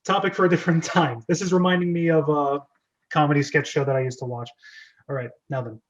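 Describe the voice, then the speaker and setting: A man's voice: fairly high pitch, steady tone, fast talker. A man casually hosting a script read-through and organising the readers, on a slightly dull recording. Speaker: host of script reading.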